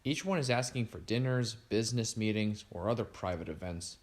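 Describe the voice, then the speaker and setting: A man's voice: fairly low pitch, brisk, lightly acted. A man reading aloud, voicing a dry, matter-of-fact young woman on a mystery team.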